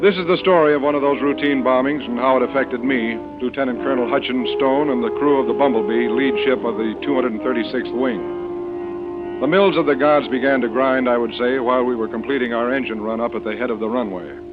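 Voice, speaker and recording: An actor playing a seasoned American bomber commander in an old-time radio drama, steady, dry and matter-of-fact. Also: male voice, medium pitch, brisk, over music, lightly acted. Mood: measured narration. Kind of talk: old-time radio drama acting with first-person narration. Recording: muffled vintage radio, engine drone noise.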